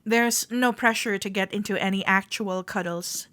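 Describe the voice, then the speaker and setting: A woman playing a friendly, playful club member in a roleplay audio, gently reassuring a shy newcomer as she speaks directly to the listener. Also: mid-range voice, fairly even intonation, normal speed, no room sound, close to an everyday voice. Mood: reassuring, coaxing. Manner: gentle, reassuring, playful, hesitant soft-spoken chattiness.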